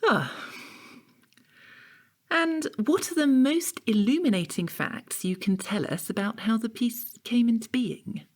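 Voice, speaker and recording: An actress playing a pompous art-history interrogator in an audio drama, formal, measured and prompting. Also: female voice, a little high, lively intonation. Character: probing, pompous female art-history interrogator keeping things on task.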